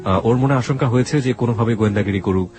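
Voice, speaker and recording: A man's voice: mid-range voice, rapid-fire, darkish tone, faint music behind. A man hosting an interview, probing with questions and restating what the guest has said. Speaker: radio host interviewer. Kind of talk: interview with prompts, recaps and backchannels.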